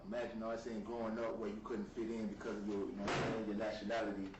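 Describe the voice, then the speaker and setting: A man's voice: on the low side, level tone, racing. A young man from South Florida, a rapper guest in a casual interview, talking in laid-back street slang and often saying "you feel me.". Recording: roomy, distant mic with noise.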